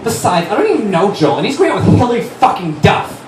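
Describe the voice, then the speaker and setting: A man's voice: high voice, big pitch swings, fast talker. A man voicing a melodramatic, foul-mouthed teenage goth girl who is easily enraged, in a comic dramatic reading on stage. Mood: gushing, bitchy. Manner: melodramatic, exclaiming. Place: on stage at a live event. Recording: echoey distant stage recording, noisy.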